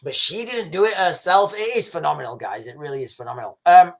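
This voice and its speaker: A man's voice: on the high side, quick pace, creaky. Rambling man livestreaming. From Yorkshire, England. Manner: rambling, repeats and restarts phrases, often addresses viewers as "guys". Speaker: livestream host reading viewer comments. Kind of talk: casual livestream commentary.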